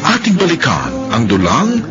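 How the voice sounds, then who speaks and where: A man's voice: medium pitch, huge pitch swings, normal speed. A man announcing a radio drama in a theatrical introduction over theme music. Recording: dull lo-fi audio under loud music. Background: loud theme music.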